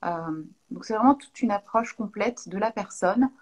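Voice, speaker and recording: A French woman from Lyon in her thirties, a naturopath answering interview questions in a thoughtful way, with pauses and restarts. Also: mid-range voice, expressive, breathy edge. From Lyon, France. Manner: thoughtful, many hesitations and restarts.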